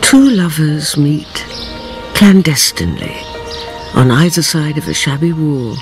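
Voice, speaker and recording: An actress playing a grave, knowing storyteller, narrating a radio drama with deliberate, theatrical phrasing. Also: female voice, deep voice, very animated, soft background music.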